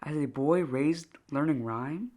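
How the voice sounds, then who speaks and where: A man's voice: fairly high pitch, unhurried, a little mellow, close to an everyday voice. A man playing a melancholic boy in a puppet film, speaking formal, earnest verse. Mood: earnest, defiant. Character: melancholic rhyming boy, bitter yet earnest.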